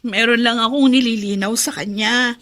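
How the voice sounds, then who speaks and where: A woman's voice: fairly high pitch, steady tone, moderate pace, fairly bright tone, lightly acted. A woman playing a weary, self-sacrificing eldest daughter in a Filipino radio drama, with a pleading, emotional delivery. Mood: subdued, reassuring.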